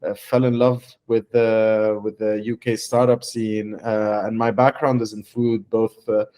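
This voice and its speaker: Halting young founder, many fillers. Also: male voice, on the low side, level tone, mellow. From Lebanon. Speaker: startup founder. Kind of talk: testimonial interview.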